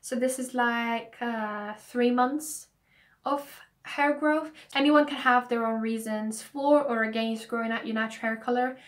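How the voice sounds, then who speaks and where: Croaky young woman vlogging. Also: on the high side, steady tone, a little slow. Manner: chatty, earnest, explaining her reasons. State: croaky voice from hay fever. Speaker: beauty vlogger. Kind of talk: casual talking-to-camera vlog.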